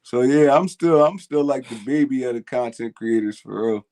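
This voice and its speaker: A man's voice: medium pitch, normal speed, darkish tone. A man, co-hosting a fan call-in show in casual, slangy chat and laughing often. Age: 20s.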